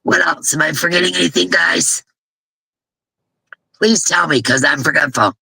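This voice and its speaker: A blunt, chatty woman hosting her crochet stream, her voice hoarse and gravelly, with a husky edge. The tone is very bright and forward, and the sound is dry and close. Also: low voice, moderate pace, dry recording. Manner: blunt, chatty, rambling, with frequent restarts. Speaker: host of a crochet live stream. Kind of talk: casual live-stream chat.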